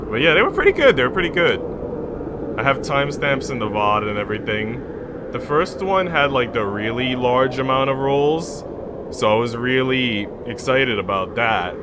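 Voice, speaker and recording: A man's voice: medium pitch, heavy background noise. A man hosting a game livestream, moving between casual, jokey rambling and reading story narration aloud. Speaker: game streamer, host.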